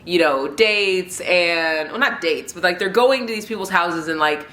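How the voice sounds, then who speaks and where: Rambling, chatty woman with a low voice, bright and congested, home vlog recording with an echo. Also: unhurried. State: congested, stuffy nose. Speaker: book vlogger. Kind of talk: casual book review chat. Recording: roomy echo.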